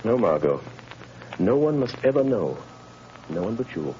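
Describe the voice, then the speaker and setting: An actor playing a suave, earnest gentleman crime fighter in a 1930s radio drama. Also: fairly low pitch, sing-song, moderate pace, slight background noise. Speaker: lead character, wealthy crime fighter. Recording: muffled 1930s radio broadcast.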